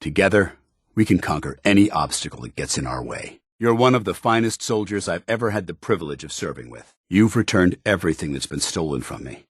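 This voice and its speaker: A stern, loyal male military officer from a video game. His voice sits low and is smooth, never muddy. He speaks in formal, clipped, earnest phrases, as a dutiful soldier would, in a very dry studio sound. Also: animated, a little fast, no echo. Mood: earnest devotion. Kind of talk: video game companion dialogue.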